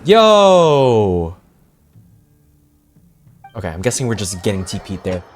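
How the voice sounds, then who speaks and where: A young man giving casual game reaction commentary as a streamer, excitable and full of slangy exclamations. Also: lowish voice, slow pace, soft background music.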